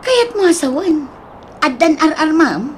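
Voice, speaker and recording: An actress playing a sympathetic, resigned elder-sister figure in an Ilocano radio drama, speaking with an Ilocano accent. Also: female voice, high-pitched, very animated, measured pace. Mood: gentle, resigned. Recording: clear background noise.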